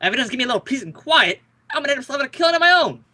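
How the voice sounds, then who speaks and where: High, blustery, exasperated police lieutenant played by a man. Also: sing-song, very fast, sharp tone, heavily acted. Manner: sarcastic, blustery. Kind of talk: 1940s detective radio drama.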